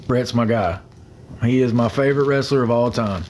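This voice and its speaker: A man's voice: medium pitch, normal speed, a little mellow, a little hiss or hum. A young man chatting on a phone livestream, rambling, repeating himself and thanking viewers by name. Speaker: livestream host. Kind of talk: casual livestream chat with viewers.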